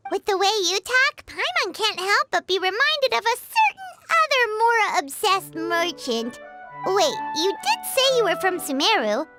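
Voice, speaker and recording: A tiny female fairy sidekick with an extremely high, squeaky, childlike voice. Her lines bounce up and down with excitable, exclamatory energy, as in a video game. Loud tinkling music plays behind her. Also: measured pace, full character voice. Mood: surprised, curious. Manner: speaks of herself in third person, exclamatory.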